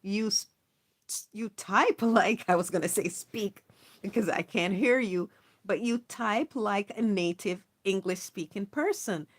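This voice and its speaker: A woman's voice: mid-range voice, measured pace. A woman hosting a casual live stream, reading viewers' messages aloud and chatting with them in an encouraging, upbeat way. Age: adult, not young. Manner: warm, encouraging, reads chat aloud, chatty with laughs. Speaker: livestream host. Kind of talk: casual livestream chat with viewers.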